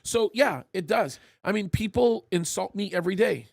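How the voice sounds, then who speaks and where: A high-pitched man hosting a live political talk show, pressing his points with emphasis. His voice sits well above the usual male range, and the sound is close and dry, with no room in it. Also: expressive, moderate pace, creaky, darkish tone, dry recording. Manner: emphatic, repeats words when starting a point. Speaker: pastor and show host.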